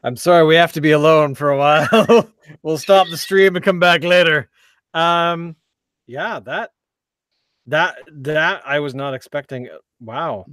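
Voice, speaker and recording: A man with a fairly high voice hosts a casual beer review podcast. He is excitable and jokey and often leaves sentences unfinished. The sound is dry and close to the mic. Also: measured pace, dry recording. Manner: excitable, joking, trailing off mid-sentence. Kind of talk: casual chat.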